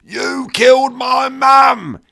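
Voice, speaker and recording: Raw, gravelly, high-pitched deranged killer. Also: male voice, expressive, sharp tone, shouting, exaggerated voice. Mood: grief-stricken rage. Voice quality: raw, cracking. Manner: roaring, drawn-out, rough working-class grammar. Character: burned, deranged backwoods killer raging over his mum.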